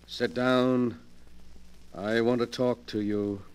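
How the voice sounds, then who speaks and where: An actor playing a coolly manipulative master of the house in a 1940s radio drama, condescending and smooth-talking. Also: male voice, medium pitch, fairly even intonation, slow, natural voice, low-quality recording. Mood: commanding.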